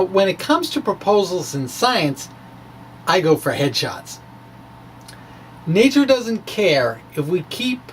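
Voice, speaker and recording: A middle-aged physics blogger gives an informal dramatic reading, halting and emphatic, with trailing asides. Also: medium pitch, rough recording. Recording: background noise, ambient sound.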